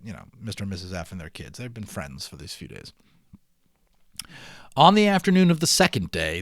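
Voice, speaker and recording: Male amateur storyteller, mid-pitched, close dry sound; expressive children's book narration that slips into casual commentary. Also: no echo. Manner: expressive reading, dropping into chatty offhand commentary. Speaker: amateur audiobook reader. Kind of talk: dramatic read-aloud of a children's novel with casual asides.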